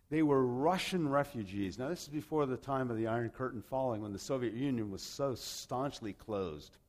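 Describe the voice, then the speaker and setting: A man from Rome, Italy, preaching a sermon in an emphatic, conversational way, with rhetorical questions and repeated phrases. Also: mid-range voice. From grew up in Rome, Italy, to American parents. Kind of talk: sermon with scripture and personal anecdotes.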